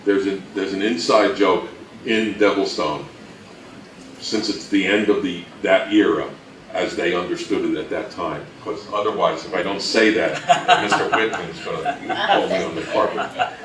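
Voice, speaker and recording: A man giving an informal history talk, halting and anecdotal, with dry humour. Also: deep voice. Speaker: co-presenter of a talk on old-time radio history. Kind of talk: informal lecture to a live audience. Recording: echoey room from far off, faint noise.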